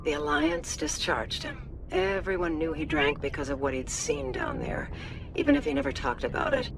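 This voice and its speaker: An actress playing a brisk, dutiful middle-aged female naval officer who is also a caring mother, in a video game. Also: low voice, very animated, creaky, exaggerated voice. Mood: somber. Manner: brisk, dutiful, quietly concerned. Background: game music.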